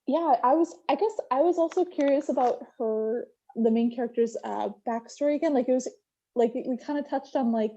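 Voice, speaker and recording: Mellow, higher-pitched woman, muffled call. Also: fairly quick. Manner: hesitant, hedging with many likes. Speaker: workshop participant giving feedback. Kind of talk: casual, tentative feedback. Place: online video meeting. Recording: muffled lo-fi video call audio.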